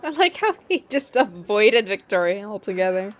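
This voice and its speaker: A woman's voice: mid-range voice, big pitch swings, normal speed, slight background noise. A woman hosting a gaming video, reading game text aloud and commenting in an informal, chatty way.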